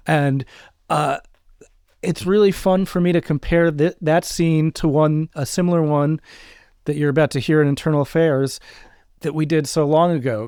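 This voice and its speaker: A man co-hosting a podcast in casual, halting chat, introducing an audio drama episode. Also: a little high, a little mellow, clean tone, no echo, studio quality. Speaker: podcast co-host.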